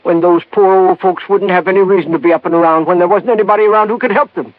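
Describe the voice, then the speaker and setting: A man's voice: high voice, quick pace, no echo, lightly acted. A man playing a meek, folksy, hesitant older American husband in a 1950s radio drama. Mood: suspicious. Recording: thin phone-like old radio recording.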